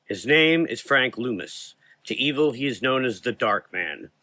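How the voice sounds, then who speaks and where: A man's voice: mid-range voice, animated, unhurried, pushed and bright, dry recording. A man narrating a scripted gothic horror story in a dramatic, solemn manner.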